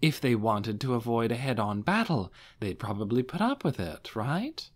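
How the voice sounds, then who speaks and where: A man voicing a poised, scheming female adviser to a dark lord in an audiobook, silky and haughty in her devotion. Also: medium pitch, very animated, moderate pace. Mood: explanatory.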